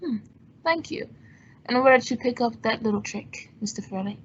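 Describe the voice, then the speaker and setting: A woman playing a cool, wry gentleman sleuth in old-time radio style, with an understated, dry wit. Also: a little high, lively intonation, normal speed, husky. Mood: coolly evasive. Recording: dull lo-fi audio.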